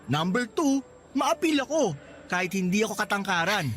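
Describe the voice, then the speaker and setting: A man's voice: high voice, normal speed. A man playing a cheeky, friendly young co-worker who jokes at his own expense in radio drama dialogue. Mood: boastful, teasing. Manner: playful, teasing, animated. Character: cheeky, friendly male co-worker, self-mocking joker. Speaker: drama voice actor.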